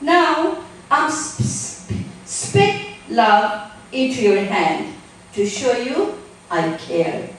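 A middle-aged woman speaks slowly and deliberately at a poetry open mic. Her voice is fairly high and comes from a distance in a very echoey room. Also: very animated, a little hiss or hum. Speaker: guest poetry reader. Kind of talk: introducing and reading spoken-word poetry. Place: small theater stage, indoors. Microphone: handheld microphone, recorded by a distant camera. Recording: very echoey room, picked up from afar.

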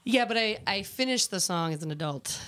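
A woman's voice: medium pitch, lively intonation, a little fast, a bit bright, dry recording. Laughing, self-mocking woman in her thirties. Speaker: guest musician. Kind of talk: casual radio interview chat. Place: radio studio. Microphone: studio microphone.

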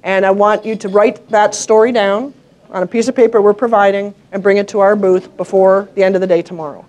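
A woman's voice: mid-range voice, fairly even intonation, fairly quick, a little mellow. Middle aged lady at a podium, chatty plain talk with ums, mid voice. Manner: plain, conversational, with fillers. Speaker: conference speaker. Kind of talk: practical explanation to an audience. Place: conference room. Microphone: podium mic.

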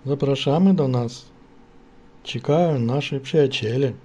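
A pompous wealthy gentleman played by a man in a religious cartoon. His voice is very mellow and dark with a husky grain, and he talks slowly over faint music. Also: mid-range voice, natural voice. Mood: pompous, inviting. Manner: pompous, deliberate. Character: pompous rich gentleman with showy piety. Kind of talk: amateur stage-play acting in a cartoon.

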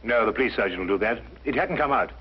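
Quick, brisk English gentleman doctor. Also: medium pitch, expressive, slightly rough. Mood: matter-of-fact. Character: brisk, sympathetic gentleman doctor, detective's companion. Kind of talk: 1950s television mystery drama acting. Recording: dull, faintly noisy 1950s soundtrack.

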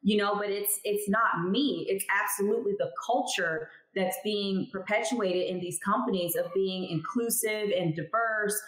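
A candid, emphatic woman in her thirties talks through her career as an interview guest. Her voice sits at a middle pitch and carries the roominess of a home room heard over a video call. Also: normal speed, hardly any pauses, echoey room, low-quality recording. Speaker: guest, cannabis lab chemist. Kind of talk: casual interview talk. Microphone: headphones on video call.

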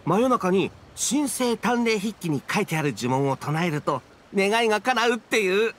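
A man's voice: high voice, animated, moderate pace, fairly bright tone, slight background noise. A man playing a curious, gossipy young townsman with casual, inquisitive speech in an anime game.

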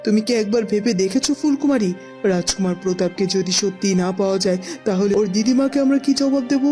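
A woman's voice: mid-range voice, quick pace, mellow, close to an everyday voice. Woman as a commanding, worried queen mother. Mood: indignant, worried. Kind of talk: cartoon fairy-tale voice acting. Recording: music behind the voice.

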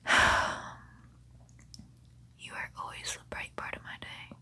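A woman in her twenties playing a gentle, protective female vampire in a tender, soothing, breathy ASMR roleplay whisper, close to the mic. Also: slow pace. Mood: tender, wistful. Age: 20s. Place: small bedroom, indoors. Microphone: close-up mic, face near camera.

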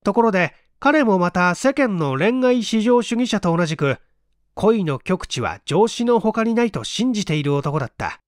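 A man's voice: high-pitched, no room sound, studio quality. A man reading literary fiction aloud as a dramatic audiobook narrator. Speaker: audiobook reader, stage actor.

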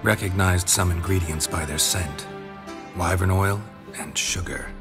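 An actor playing a stoic, laconic monster hunter in a video game, terse and deadpan with dry humor. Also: male voice, deep voice, measured pace, over music. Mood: matter-of-fact. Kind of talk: video game dialogue acting.

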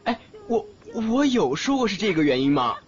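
A man as a lively lovestruck college boy. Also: high-pitched, moderate pace. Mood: curious, cheeky. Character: lovestruck, cheeky young male college student. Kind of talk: Chinese audio drama acting. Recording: muffled lo-fi, faint noise.

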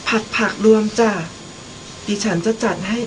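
A woman's voice: moderate pace, natural voice. A young woman playing a caring, dutiful wife and mother in a student radio drama. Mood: gentle, respectful. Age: young adult. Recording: clearly noisy background.

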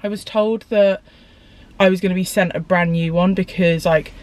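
A young woman vlogging casually to camera, chatty and rambling with lots of fillers, her voice a bit croaky today. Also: fairly low pitch, steady tone, moderate pace, a little mellow. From United Kingdom. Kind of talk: casual vlog chat to camera. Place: parked car, then home hallway. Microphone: camera on dashboard, then handheld camera.

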